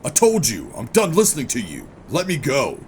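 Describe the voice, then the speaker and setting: An actor playing a bitter, defiant sci-fi operative in a noir audio drama, brooding and deliberate in delivery. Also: male voice, huge pitch swings, background noise. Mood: angry, defiant. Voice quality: very deep, gravelly. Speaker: narrator and lead character. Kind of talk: noir first-person audio drama narration and dialogue. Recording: dramatic music behind the voice.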